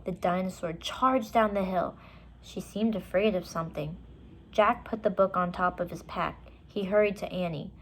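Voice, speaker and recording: A woman reading a children's story aloud, with expressive, deliberate and dramatic narration. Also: lowish voice, a little hiss or hum.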